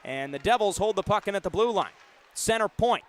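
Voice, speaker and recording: A young man in his twenties works as a hockey play-by-play announcer on radio. His voice sits fairly high for a man. He narrates the action live in short, vivid bursts. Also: animated, brisk, a little hiss or hum. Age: 20s. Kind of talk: live radio sports commentary.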